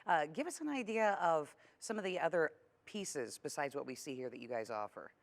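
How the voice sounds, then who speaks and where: American female TV host, low register, casual studio interviewer. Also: lively intonation, fairly quick. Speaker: TV show host.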